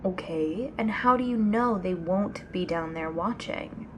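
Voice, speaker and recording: A woman playing a restless, anxious young woman in an ASMR audio drama, speaking softly and hesitantly, often near a whisper. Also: lowish voice, measured pace, lightly acted. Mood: alarmed, reluctant. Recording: clear background noise, ambient sound.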